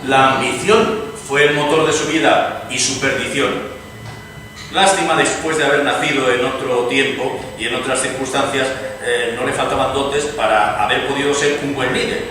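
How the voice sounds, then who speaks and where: A man playing an earnest liberal Spanish statesman in a dramatized reading, formal, courteous and measured. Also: mid-range voice, fairly even intonation, bright, forceful tone, lightly acted. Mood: reflective, courteous. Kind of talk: dramatized radio theatre reading. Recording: reverberant noisy hall, far from mic.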